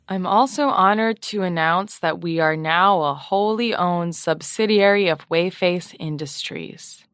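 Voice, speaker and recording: An exasperated radio journalist in a comedic audio drama. She is formal and precise, with a sarcastic edge and a very animated melody. The sound is dry and studio-close. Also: on the low side, no room sound. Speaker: podcast host and radio journalist. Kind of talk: mock investigative podcast, comedic audio drama.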